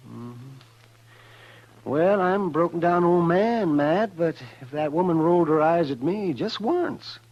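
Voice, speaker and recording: Crusty old country doc, creaky voice, hesitant folksy delivery, muffled 1950s radio drama. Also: male voice, a little high, expressive, a little slow. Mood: wry. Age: older. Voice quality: creaky, gravelly. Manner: hemming, folksy. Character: crusty old country doctor, wry and frank. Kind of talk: 1950s radio western acting. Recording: dull vintage 1950s radio recording.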